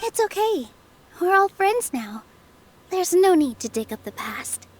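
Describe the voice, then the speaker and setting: A woman voicing a gentle, kind young dancer of a theater troupe in a video game, sweet and polite, over music. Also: very high voice, very animated, measured pace, exaggerated voice. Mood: friendly. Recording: music playing behind.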